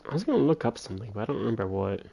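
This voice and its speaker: A man's voice: lowish voice, very animated, racing, vocal fry, dull audio. A man giving casual, wry commentary as he livestreams a video game, laid-back in manner. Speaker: game streamer.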